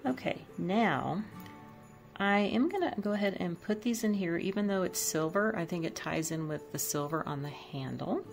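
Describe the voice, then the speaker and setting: A woman's voice: fairly low pitch, normal speed, gravelly, faint music behind. A woman chatting casually as she decorates her home kitchen, thinking aloud about each piece. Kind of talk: casual voice-over demo.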